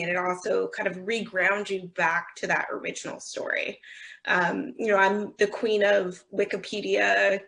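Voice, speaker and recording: A woman's voice: medium pitch, gravelly, a bit bright. A woman talking conversationally as a guest on a panel discussion. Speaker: audio company executive, panel guest. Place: remote online video conversation.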